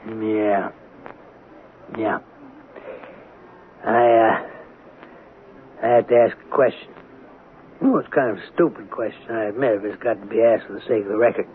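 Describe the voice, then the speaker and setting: A man's voice: on the low side, steady tone, normal speed, pauses often, close to an everyday voice. An American man playing a folksy, plain-spoken small-town sheriff, hesitant and apologetic yet probing, in an old-time radio drama. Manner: folksy, hesitant, rural turns of phrase. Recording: narrow, noisy old radio broadcast.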